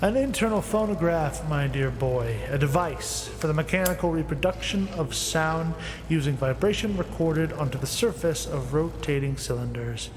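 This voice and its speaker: A man's voice: on the high side, animated, normal speed, music in the background, lightly acted. An actor playing a learned, irritable inventor in his late fifties in an audio drama, speaking formally and condescendingly. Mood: patient, explaining. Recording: noisy, echoing with long reverb.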